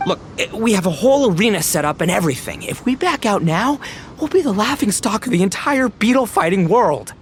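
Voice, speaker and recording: A man's voice: high-pitched, animated, brisk, a little hiss or hum. A man playing a brash, boastful, goofy gang boss in an anime game, with a blustering, theatrical delivery. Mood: pleading.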